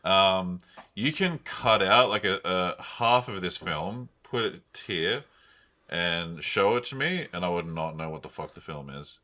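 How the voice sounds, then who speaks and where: Deep-voiced man, bright and sweary. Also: expressive, a little slow. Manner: casual, sweary, slangy. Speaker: podcast co-host. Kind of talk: casual podcast chat.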